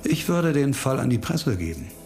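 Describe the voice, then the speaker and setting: An actor playing a grim, hard-bitten police investigator in a radio crime drama, speaking insistently and probingly, with music behind him. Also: male voice, mid-range voice, very animated, moderate pace, creaky, a little mellow. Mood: cold, resolute.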